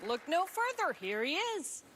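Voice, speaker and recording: A woman's voice: extremely high pitch, sing-song, a little slow. A woman announcer giving upbeat, showy introductions for a TV show.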